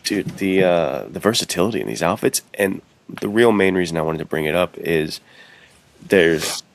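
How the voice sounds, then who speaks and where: A man with an extremely low voice that crackles with fry talks in a loose, slangy podcast style. His phrasing is bro-like and conversational, as a co-host swapping opinions. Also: animated, moderate pace, no room sound. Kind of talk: casual podcast chat.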